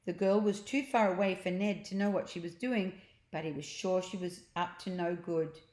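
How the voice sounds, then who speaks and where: A woman's voice: on the low side, fairly even intonation, moderate pace, a little mellow. A woman narrating a children's story aloud, sometimes chatting about the pictures. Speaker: storybook reader. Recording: echoey, roomy home recording.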